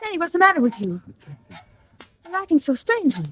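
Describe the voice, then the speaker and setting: An actress playing an anxious, devoted young sweetheart in pleading, breathless 1940s radio melodrama style. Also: female voice, very high-pitched, big pitch swings, fairly quick, a bit bright, a little hiss or hum, heavily acted. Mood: pleading, tender. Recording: dull old radio recording.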